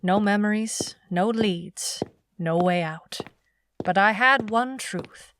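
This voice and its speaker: A woman's voice: medium pitch, slow pace, fairly bright tone, a little hiss or hum. A woman playing a wry, defiant noir private eye in an audio drama, in narration and dialogue. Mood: brooding narration. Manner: hardboiled, wry. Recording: music behind the voice.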